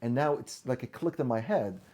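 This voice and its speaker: Give me a man with Arabic as his first language, talking as a podcast guest in a relaxed, mid-pitched voice. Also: fairly quick. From Syria. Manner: earnest, with frequent restarts and repeated words. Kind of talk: personal storytelling in conversation.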